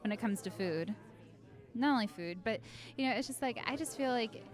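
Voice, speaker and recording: A woman's voice: a little high, lively intonation, normal speed. A woman giving casual, reflective interview answers, rambling a little as she thinks aloud.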